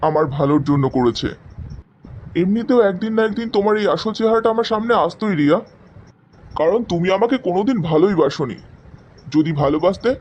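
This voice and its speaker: A man acts out a hurt but resolute young man in an animated love drama. His voice sits fairly high, with emphatic, declamatory delivery. The audio is a little dull, with faint noise behind it. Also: lightly acted. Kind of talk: dramatic animated story dialogue.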